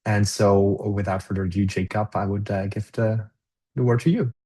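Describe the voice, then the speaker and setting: Male moderator, low and husky, polite and brisk. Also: fairly even intonation, warm tone. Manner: polite, brisk handing over to the next speaker. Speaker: webinar moderator. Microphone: video call.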